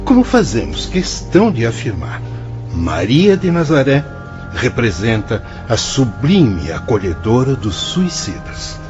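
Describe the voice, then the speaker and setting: A compassionate, solemn spirit guide in a radio drama. He declaims in a measured, fatherly way, his mid-pitched voice swooping dramatically. The recording is a bit muffled, with light music underneath. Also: wildly swooping pitch, darkish tone. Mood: solemn, reassuring.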